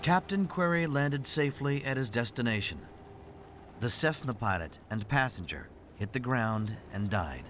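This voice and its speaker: A man's voice: mid-range voice, animated, moderate pace, background noise. A man reading scripted, dramatic narration as the narrator of a documentary.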